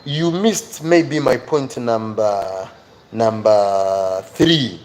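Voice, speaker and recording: A man's voice: fairly low pitch, expressive, very slow, darkish tone, slight background noise. A man with an African accent giving a motivational live lesson to viewers as their teacher, earnest and emphatic, repeating key phrases.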